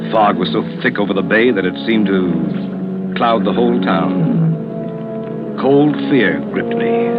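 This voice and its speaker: An actor playing an anxious lawyer who narrates a murder mystery gravely and dramatically in an old-time radio suspense show. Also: male voice, lowish voice, natural voice. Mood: grave, fearful. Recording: muffled vintage radio recording, loud music.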